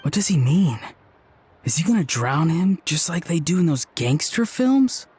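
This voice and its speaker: An excitable, chatty young man in a video game who keeps jumping to conclusions. His voice is fairly high, with a faint hiss behind it. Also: moderate pace. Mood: eager. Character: excitable young man, a gangster-film fan. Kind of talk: video game cutscene dialogue.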